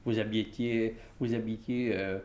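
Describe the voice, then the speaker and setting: Mellow-voiced male interviewer, probing. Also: lowish voice, steady tone, unhurried. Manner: probing, leading questions. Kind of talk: oral history interview. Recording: roomy with faint noise.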